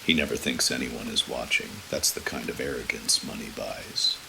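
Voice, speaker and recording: A man playing a brooding, menacing noir vigilante in a horror audio drama, terse and gravelly. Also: a bass voice, steady tone, moderate pace. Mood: cold, grim. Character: brooding male noir vigilante, cold deliverer of judgment. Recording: clear background noise.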